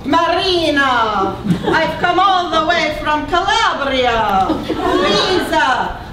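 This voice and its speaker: A woman playing an excitable old Calabrian woman in a puppet show, pleading with many hesitations. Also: high voice, extremely slow, heavily acted. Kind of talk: amateur puppet show acting. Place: stage in a hall with an audience. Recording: echoey room from far off, crowd and noise.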